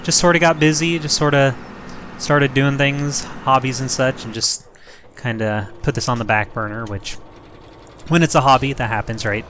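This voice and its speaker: A young man hosting a farming game let's play, slightly hoarse and rough-voiced, rambling and joking at himself as he thinks aloud. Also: medium pitch, steady tone, moderate pace, a bit bright, slight background noise. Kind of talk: casual gameplay commentary.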